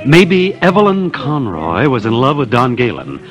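An actor playing a folksy, gossipy music school employee answering questions in a 1950s radio mystery. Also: male voice, mid-range voice, wildly swooping pitch, moderate pace, highs cut off. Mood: gossipy, helpful. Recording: old radio recording, music behind.

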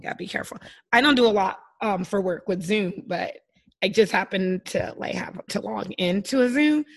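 A woman in her thirties with a slightly hoarse voice, co-hosting a podcast in a casual, joking, giggly way. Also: mid-range voice, normal speed. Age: 30s. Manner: giddy, giggly. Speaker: podcast co-host. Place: remote video call.

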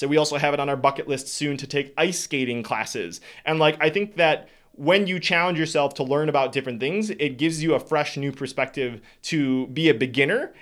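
A man's voice: mid-range voice, fairly bright tone. A young adult man speaking earnestly and reflectively to his audience, sharing lessons he learned, sometimes stopping to correct himself. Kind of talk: casual talk to an audience.

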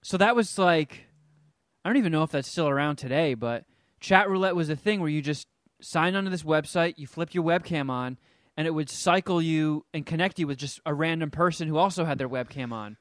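A man's voice: a little high, a little fast, no room sound, studio quality. A man hosting a podcast, casually rambling through a story with swearing. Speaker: podcast host.

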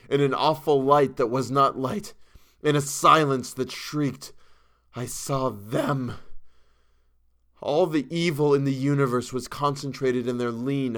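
A man's voice: mid-range voice, fairly bright tone, voice pushed up, natural voice. Man acting a terrified, half-mad raving man. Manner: hysterical, drawn-out, rising from gasps to screams. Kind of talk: dramatic horror audiobook character reading.

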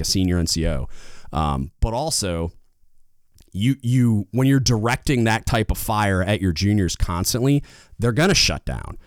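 A man with a loud, booming voice giving casual leadership advice as a podcast host, getting louder when fired up. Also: no echo, clean studio sound. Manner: gets louder when fired up, rambling restarts.

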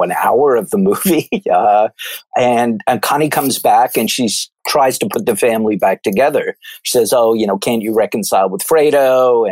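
Low-voiced American man, dry close sound. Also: darkish tone, no echo. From New York, United States. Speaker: film commentator. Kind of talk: conversational film analysis.

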